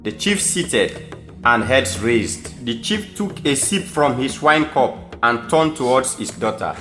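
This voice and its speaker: A man's voice: medium pitch, lively intonation, takes it slowly, sharp tone. A man with a Sierra Leonean accent giving scripted storytelling narration for an audio drama. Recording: echoey room, faint music behind.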